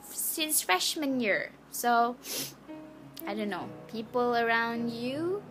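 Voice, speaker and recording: A woman's voice: a little high, expressive, slow pace, fairly bright tone, low-quality recording. A nineteen-year-old woman from Malaysia, a native Malay speaker, talking in a casual, hesitant and apologetic way with a Malaysian English accent. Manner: hesitant, chatty, apologetic, with many restarts. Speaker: vlogger sharing her cancer story. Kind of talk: casual personal vlog. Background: background music.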